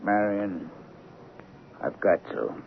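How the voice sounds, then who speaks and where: An actor playing a shifty, self-pitying husband back from a faked death, halting and pleading, in an old-time radio drama. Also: male voice, on the low side, sing-song, vocal fry, heavily acted. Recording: narrow, noisy old radio recording.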